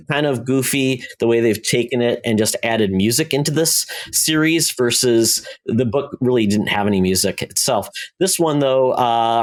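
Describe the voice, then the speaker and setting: A man co-hosting a podcast, chatting casually through entertainment news in a halting, loosely conversational way. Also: medium pitch. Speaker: podcast co-host.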